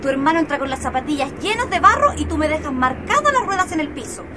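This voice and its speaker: High-pitched fussy Chilean mom. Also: female voice, very animated, fast talker, heavy background noise. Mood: nagging. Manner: nagging, affectionate. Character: fussy, caring mother of two boys. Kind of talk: educational radio drama acting.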